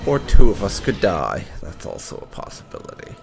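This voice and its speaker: A man's voice: low-pitched, huge pitch swings, moderate pace, vocal fry. A man giving casual, sweary and wry commentary as the host of a gaming video.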